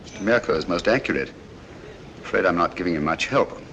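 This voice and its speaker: An actor playing a shrewd, composed detective in a 1940s film, speaking with a British accent in a measured, courteous way. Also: male voice, low voice, expressive, muffled recording. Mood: cool, guarded. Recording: noisy old 1940s film soundtrack.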